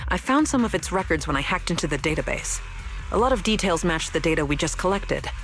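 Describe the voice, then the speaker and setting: An actress playing a playful, rebellious young woman researcher in a video game, teasing, breezy and sure of herself. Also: fairly low pitch. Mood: musing. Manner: teasing, breezy, self-assured. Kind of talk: scripted video game story dialogue. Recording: music behind the voice.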